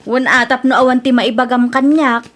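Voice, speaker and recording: Woman playing a high-voiced, wheedling Ilocano debtor. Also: fairly even intonation, normal speed, a bit bright. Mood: friendly. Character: evasive, pleading younger woman always short of money. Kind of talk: Ilocano comedy radio drama acting.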